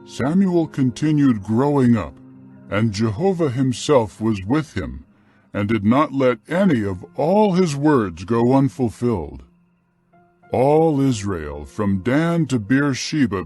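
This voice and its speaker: Slow, solemn male scripture narrator. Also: mid-range voice, big pitch swings, a little mellow, faint music behind. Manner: solemn, measured, clearly phrased.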